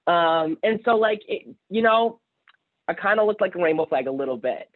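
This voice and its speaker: A young man with a naturally higher-pitched voice tells a candid story with a wry edge and plenty of fillers. He speaks from a home office over a video call. The audio is narrow and phone-like. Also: expressive, normal speed. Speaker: alumni panelist. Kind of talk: storytelling on a panel.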